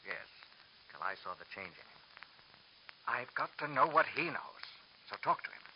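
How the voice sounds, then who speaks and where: Male actor as silky, menacing nightclub bully for vintage radio drama; very animated intonation, dull hissy sound. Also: medium pitch, normal speed, close to an everyday voice. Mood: insistent, bullying. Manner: coaxing politeness that turns to bullying threats. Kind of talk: 1950s radio drama acting. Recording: muffled, hissy old radio recording.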